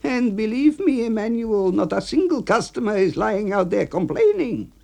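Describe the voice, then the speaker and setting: A man voicing an excitable older male cemetery official, exasperated and laughing. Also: sits high, normal speed, vocal fry, voice pushed up, full character voice.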